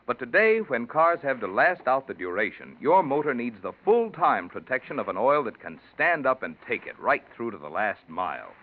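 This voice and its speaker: A man's voice: medium pitch, big pitch swings, moderate pace, hardly any pauses. An American man announcing and reading sponsor copy on 1940s radio in a polished, emphatic sales delivery. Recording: tinny 1940s radio, faint music.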